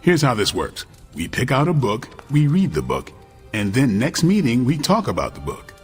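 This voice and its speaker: A man playing a gruff, guarded warrior who is earnest about books, in a terse, dry video game cutscene style. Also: medium pitch, wildly swooping pitch, normal speed, soft background music. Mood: gruff, slightly defensive.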